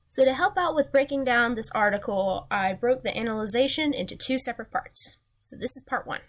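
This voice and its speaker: A woman's voice: a little high, lively intonation, brisk. Nasal young woman presenting for class. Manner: earnest, reading from slides. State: recovering from a sinus infection. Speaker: student presenter.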